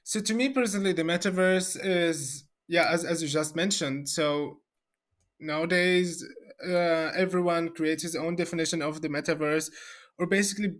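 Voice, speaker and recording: Hesitant man from Syria, slightly high voice. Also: steady tone, a bit bright. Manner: halting, many fillers and repeated words. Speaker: guest expert. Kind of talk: conversational video interview.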